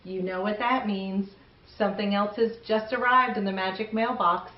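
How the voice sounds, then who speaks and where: A middle-aged woman presenting to young children in a chatty, expressive way, addressing them directly. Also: mid-range voice, normal speed, loud music behind the voice. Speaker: host of a children's story show. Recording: roomy, distant mic, slightly dull.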